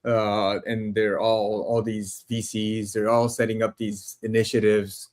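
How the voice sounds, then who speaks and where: A man's voice: lowish voice, level tone, a little slow, darkish tone. Enthusiastic male podcast host. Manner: enthusiastic, repeats words when starting sentences. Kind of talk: casual interview talk.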